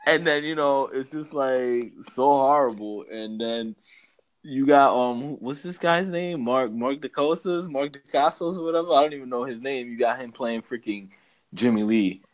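A man's voice: medium pitch, normal speed. A man co-hosting a podcast, giving casual, opinionated movie talk peppered with slang like bro and man. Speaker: podcast co-host.

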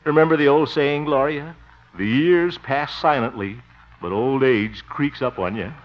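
An actor playing a jovial, blustery middle-aged small-town radio host in a theatrical 1950s radio comedy style. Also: male voice, medium pitch, a little slow, natural voice. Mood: wistful. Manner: theatrical, chuckling, exclaiming. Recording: muffled old 1950 radio recording.

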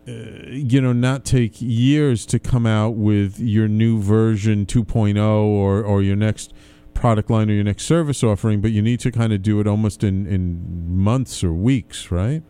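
A man's voice: on the low side, fairly even intonation, a little slow, warm tone, clear, resonant tone, dry recording. A man hosting an interview in a conversational, agreeable way, often chiming in with affirmations. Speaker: show host, interviewer.

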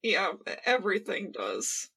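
A woman co-hosting a podcast in casual fan chat, talking in an excitable, playfully sweary way. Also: mid-range voice, unhurried, creaky, husky. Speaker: podcast co-host.

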